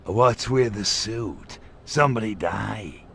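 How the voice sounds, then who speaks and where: A man voicing an irritable, lecherous little alien invader in a video game, gravelly, with a sarcastic, slangy tough-guy delivery. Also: fairly low pitch, slow pace, background noise. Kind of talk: video game cutscene acting.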